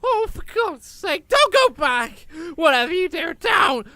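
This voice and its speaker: Manic small troublemaker, very high shrill voice, piercing and bright, sweary, panicky rants, fantasy comedy audio drama. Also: male voice, very animated, a little slow, no echo, full character voice. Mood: panicked, furious. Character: frantic, foul-mouthed little manic troublemaker.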